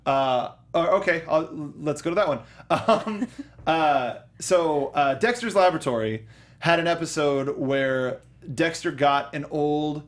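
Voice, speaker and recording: A man's voice: fairly high pitch, unhurried. A man co-hosting a casual chat about films and games, enthusiastic and rambling, full of fillers and restarts. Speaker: podcast co-host.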